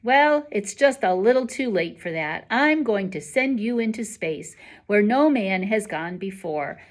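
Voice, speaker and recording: A woman's voice: mid-range voice, lively intonation, a little slow, close to an everyday voice. A woman voicing a bossy, indignant school girl with a blunt, demanding manner in a children's book.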